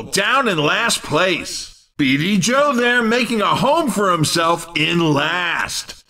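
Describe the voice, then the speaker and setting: A man's voice: high voice, pushed and bright, heavily acted. A man playing a brash, playfully teasing video game race commentator, with punchy, theatrical announcer delivery and a slightly distant sound.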